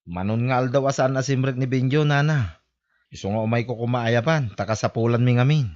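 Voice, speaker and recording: A man plays a deferential workmate in an amateur Filipino audio drama. He has a medium-pitched voice and a Filipino accent. The audio is somewhat dull and lo-fi. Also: brisk, a little mellow, lightly acted. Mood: polite, concerned. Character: deferential male co-worker calling at a family's house. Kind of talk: amateur Ilocano radio-style drama acting.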